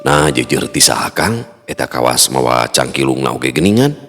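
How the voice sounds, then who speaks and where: A man's voice: extremely low pitch, big pitch swings, normal speed, a bit bright, voice pushed down, exaggerated voice. A man doing a wry, teasing young man. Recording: long reverb, faint music behind.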